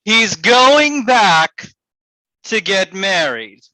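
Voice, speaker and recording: A woman's voice: mid-range voice, sing-song, very slow, bright, forceful tone, dry recording, heavily acted, low-quality recording. A woman playing a haughty, scornful debater in a gothic staged reading, emphatic and cutting. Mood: alarmed. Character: haughty, argumentative, scornful literary critic in gothic drama.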